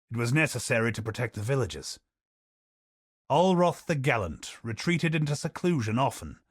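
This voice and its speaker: A man voicing a grave, weary knight commander in a game, reading journal entries in a solemn, measured, brooding way. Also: on the low side, no echo, clean studio sound. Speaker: voice actor reading in-game lore. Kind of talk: scripted game narration. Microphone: studio voice-over.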